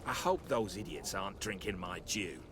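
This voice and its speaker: An actor playing a smug, corrupt guard lieutenant in a video game, cocky and quietly threatening. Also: male voice, low voice, lively intonation, moderate pace, creaky, heavily acted. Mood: smug menace. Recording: noisy ambient sound behind the voice.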